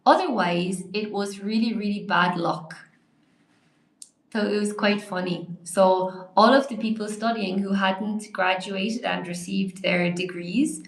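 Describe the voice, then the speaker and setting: A young woman teaching a live online English lesson, a little hoarse, praising viewers by name as she explains. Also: mid-range voice, steady tone, measured pace, echoey room, rough recording. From Ireland.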